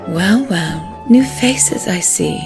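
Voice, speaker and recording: An actress playing a sugary, catty, flirtatious cabaret diva with a coy purr in a 1920s radio play. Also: female voice, on the low side, expressive, over music. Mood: sultry, flirtatious. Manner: coy, purring, drawn-out flirting.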